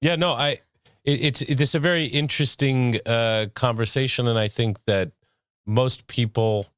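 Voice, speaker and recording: A relaxed interviewer asking questions and affirming the guest's points in a conversational interview. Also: mid-range voice, moderate pace, clear, resonant tone, no room sound, clean studio sound. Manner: easygoing, affirming, laughs along. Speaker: interviewer, host.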